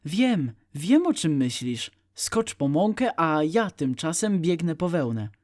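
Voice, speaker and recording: A man's voice: a little high, very animated, slow, darkish tone. A man voicing a kindly, loyal young male lamb with an eager, encouraging manner.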